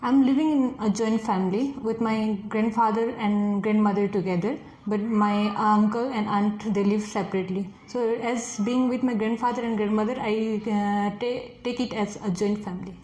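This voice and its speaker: A woman's voice: medium pitch, steady tone, moderate pace, hardly any pauses, darkish tone. A woman in her twenties with a Nepali accent, a native Nepali speaker, answering exam questions hesitantly with many fillers. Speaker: test candidate, forest officer. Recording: echoey room with faint noise.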